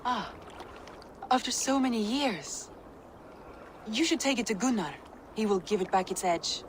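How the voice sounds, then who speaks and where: A woman's voice: mid-range voice, expressive, measured pace, slight background noise, close to an everyday voice. An actress voicing a composed, formal female advisor in a video game cutscene. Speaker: game character.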